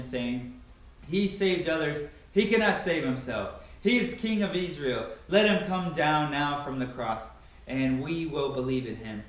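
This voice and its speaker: A young adult man giving a dramatic Bible reading in a declamatory, emphatic way, recorded by a camera a few meters away in a large living room. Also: fairly high pitch. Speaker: reader of scripture. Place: large, sparsely furnished living room indoors. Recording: very echoey room, camera at a distance.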